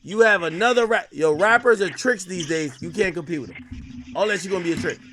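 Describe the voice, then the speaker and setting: A man in his thirties hosting a casual livestream chat, animated and talking over his guest, often repeating himself. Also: high-pitched, quick pace, a little hiss or hum. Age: 30s. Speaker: streamer host.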